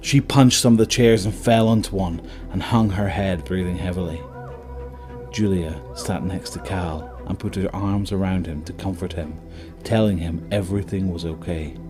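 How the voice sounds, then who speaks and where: A man with a very low voice delivers dramatic, scripted horror narration. Music plays clearly underneath him as he tells the story. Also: steady tone, normal speed.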